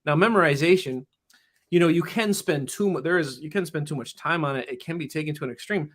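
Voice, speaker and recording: A man's voice: fairly high pitch, fast talker, a bit bright. A man who teaches in public schools, talking earnestly in an interview and often restarting his sentences with "you know". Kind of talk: casual interview talk.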